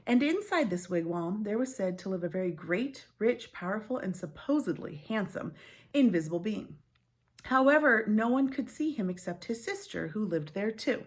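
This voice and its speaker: A woman reading a story aloud like a teacher, expressive and clearly phrased, with informal asides. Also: mid-range voice, normal speed.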